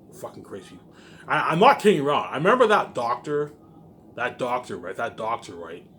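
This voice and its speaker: A man speaks very quickly in an agitated, unscripted rant. He is confrontational, circles back on the same phrases and swears often. Some noise sits behind his mid-pitched voice. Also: very animated, a bit bright. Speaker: online video maker.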